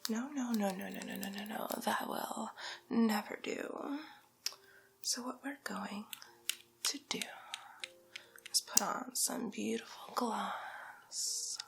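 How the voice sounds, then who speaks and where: A woman playing a haughty, condescending, luxury-obsessed villainess in an ASMR roleplay, with a drawling, theatrical delivery that shifts between breathy whisper and soft creaky speech. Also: mid-range voice, takes it slowly, pauses often. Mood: vain, dramatic. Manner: drawling, theatrical, condescending, calls listener darling.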